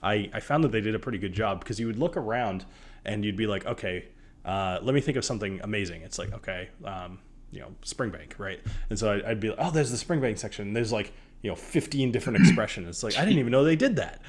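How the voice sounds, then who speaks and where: A man in his thirties with a fairly low voice hosts a casual live stream. He talks in a rambling, conversational way with a joking streak. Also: expressive, fast talker. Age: 30s. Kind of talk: casual chat about whiskey on a live stream.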